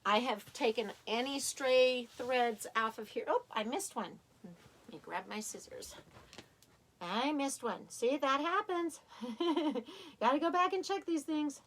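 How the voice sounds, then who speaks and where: A woman teaching a live sewing tutorial in a chatty, hands-on way, her voice slightly raspy and tired as she works. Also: sits high, a little slow. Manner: chatty, talks while working, restarts often. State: tired, a little hoarse. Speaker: sewing instructor.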